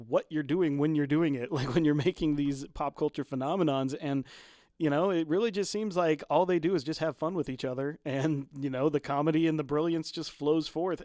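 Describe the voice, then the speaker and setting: Man, podcast co-host, chatty, laughs through sentences, fairly high voice, dry sound. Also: darkish tone, no room sound. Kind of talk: casual podcast chat.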